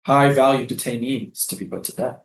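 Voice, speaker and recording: A man playing a poetic, defiant prisoner, speaking lyrically and deliberately in a theatrical staged reading. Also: medium pitch, steady tone, a little hiss or hum, natural voice. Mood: defiant, dreamy. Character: male prisoner Jamil, dreamy, defiant, poetic. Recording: echoey live stage room.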